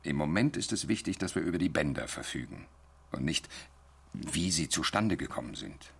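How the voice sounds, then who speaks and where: Cool male official, gravelly, husky, dry, knowing, sceptical, radio drama. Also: extremely low pitch, very animated, moderate pace, fairly bright tone, full character voice. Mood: cool, pragmatic. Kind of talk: radio play dialogue.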